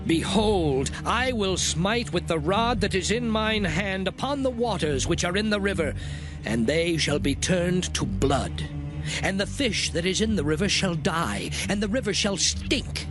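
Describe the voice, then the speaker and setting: An actor playing the prophet Moses, delivering solemn, emphatic proclamations in a dramatized scripture reading. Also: male voice, high-pitched, expressive, unhurried, music in the background. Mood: proclaiming. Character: prophet Moses, solemn adult man messenger.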